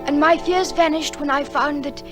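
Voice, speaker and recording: A woman playing a shy, tender young fisher girl, soft and earnest, in old-time radio drama style. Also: high voice, fairly even intonation, normal speed, music in the background, close to an everyday voice. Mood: tender, reflective.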